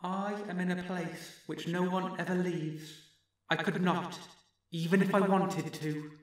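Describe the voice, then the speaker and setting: Brief: man voicing a storybook fox, sly, raised and hushed, slow and eerie. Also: sits high, flat delivery, heavy reverb, far from the mic, pitched above the performer's own voice. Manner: soft, slow, singsong. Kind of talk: storybook reading.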